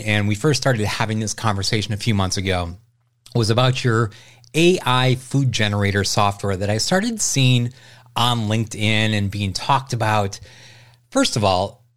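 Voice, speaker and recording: Man hosting a podcast interview. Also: lowish voice. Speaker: podcast host.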